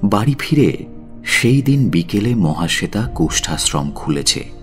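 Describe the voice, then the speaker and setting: A man's voice: on the low side, sing-song, a little slow, soft background music. A man narrating a literary audio story in a dramatic, scripted style.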